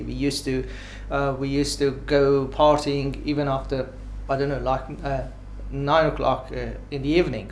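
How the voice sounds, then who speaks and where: A man in his forties with an Iranian accent answering interview questions, pausing often on filler sounds. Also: on the high side, a little hiss or hum. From Iran. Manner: hesitant, many filled pauses. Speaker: dentist interviewee.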